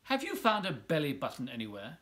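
Storybook little boy, played by a man: earnest, polite, insistent, bright-toned and very animated. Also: a little high, fairly quick, exaggerated voice. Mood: curious.

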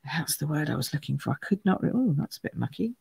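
A woman with a croaky voice, chatting through a live card-making demonstration at her craft table, greeting viewers by name as she stamps and colours. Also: low voice, big pitch swings, fast talker, darkish tone, dry recording. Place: home craft room.

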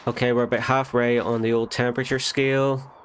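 A man's voice: medium pitch, flat delivery, brisk, slight background noise. Nasal-sounding man playing a game. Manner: chatty, self-correcting, thinks aloud. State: nasal from a cold. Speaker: gaming channel host. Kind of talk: casual let's play commentary.

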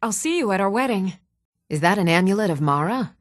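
A composed townswoman of a fantasy realm, acted by a woman, speaks in a measured, even tone at a middling pitch. The lines are scripted video game dialogue, recorded very dry with no room around the voice. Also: no room sound, lightly acted.

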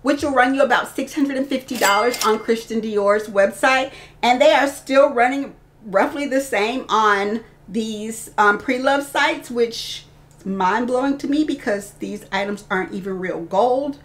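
A woman's voice: a little high, a little slow, a bit bright. A woman in her thirties presenting products in a casual, chatty way, addressing her viewers directly like a fashion vlogger. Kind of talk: casual product showcase to camera. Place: small indoor room lined with clothes and bag shelves.

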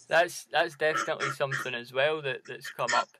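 A man's voice: medium pitch, brisk, heavy background noise. A man hosting a podcast interview, chatting casually and putting questions to his guest. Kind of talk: casual chat.

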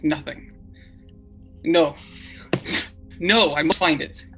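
A man playing the weary, despairing last human in a radio drama, speaking in a halting, brooding way. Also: a little high, bright, forceful tone, soft background music.

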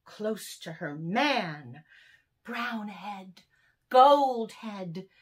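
A woman in her sixties reading children's poems aloud as a storyteller, with deliberate, animated phrasing and dramatic pauses. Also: mid-range voice, slightly rough. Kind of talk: expressive children's poetry reading. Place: small furnished room indoors.

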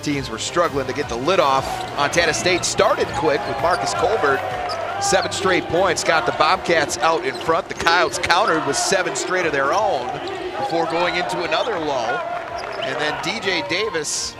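A man in his fifties, a play-by-play announcer giving a postgame recap from a courtside desk in an arena, speaking into a headset mic in a steady broadcaster delivery. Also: a little high, normal speed, loud music behind the voice.

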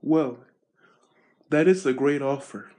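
A man's voice: mid-range voice, slow pace, warm tone, close to an everyday voice. A young man playing a brash, chatty young thief who rambles to himself while walking an alley, recorded on a close-held phone outdoors. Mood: earnest. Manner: talks to himself in a restless ramble.

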